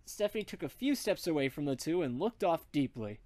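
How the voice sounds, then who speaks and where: Guy reading a funny story out loud, very lively intonation, dry sound. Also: a little high, a little fast, dry recording. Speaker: narrator, reader. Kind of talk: comic story reading aloud.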